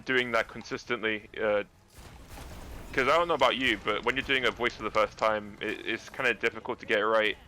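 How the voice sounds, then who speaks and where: A man with a naturally deep voice, a guest actor chatting casually about acting, rambling and restarting his sentences. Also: a little fast, bright, forceful tone, slight background noise.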